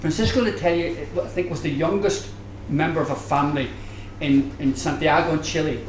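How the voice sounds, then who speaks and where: An older Northern Irish man speaks in a fairly high voice at a live panel. He explains conversationally, with anecdotes and digressions. The room is echoey, with faint background noise. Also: a little fast. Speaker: panelist, academic. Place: bar venue, live audience discussion.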